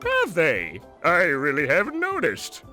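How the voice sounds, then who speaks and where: A man's voice: a little high, wildly swooping pitch, a little slow, sharp tone, soft background music, full character voice. A man playing a tiny, scheming cartoon villain in a video game, smug and condescending. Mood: feigned innocence. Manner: sly, condescending.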